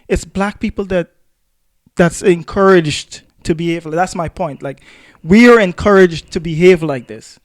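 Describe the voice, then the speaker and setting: A man's voice: sits high, measured pace, no echo, clean studio sound. A man co-hosting a casual opinion discussion, repeating phrases as he argues his point. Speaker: podcast co-host.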